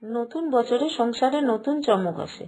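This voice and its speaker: A woman's voice: on the high side, a little slow, darkish tone, breathy edge. A woman narrating a scripted moral tale for a cartoon.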